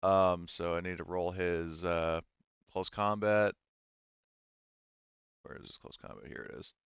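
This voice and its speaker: A man with a low, smooth voice acts as game master, narrating a tabletop game in a casual way. He swears freely, and the sound is dry and slightly dull, like an online call mic. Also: fairly even intonation, measured pace, fairly bright tone, no echo.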